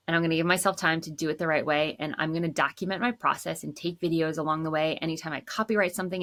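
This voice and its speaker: A woman in her thirties, a songwriter and composer, chatting casually about her work in a low voice with lots of "like.". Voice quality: says she has a low voice. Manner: chatty, says "like" often, relaxed. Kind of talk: casual storytelling to camera.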